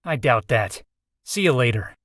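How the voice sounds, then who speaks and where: A man's voice: mid-range voice, lively intonation, measured pace, fairly bright tone, no room sound, studio quality. A young man playing an earnest, skeptical college student in a visual novel. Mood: defensive.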